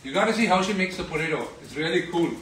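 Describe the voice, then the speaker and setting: A man's voice: a little high, fast, slight background noise. A man bantering and teasing playfully while cooking in a large home kitchen, filmed by a phone across the room. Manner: teasing, animated, laughs often. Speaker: home cook filming a cooking video. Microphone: phone camera across the room. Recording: echoey kitchen, mic far off.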